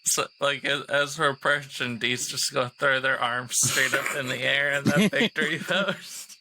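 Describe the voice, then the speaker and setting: A man's voice: medium pitch, fairly even intonation, normal speed, sharp tone, low-quality recording. A man chatting casually and playfully as a player in a tabletop roleplaying game.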